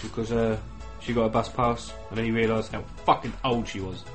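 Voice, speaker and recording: A man's voice: fairly low pitch, fairly even intonation, brisk, faint music behind. A man from England co-hosting a podcast, mumbling in slangy, sweary casual banter. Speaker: podcast co-host.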